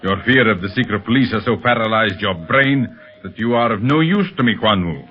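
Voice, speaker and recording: A man plays a cold criminal mastermind in an old radio serial. His lowish voice gives orders and threats in an imperious, measured way. The recording is dull, vintage 1930s broadcast sound. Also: animated, lightly acted. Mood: cold menace.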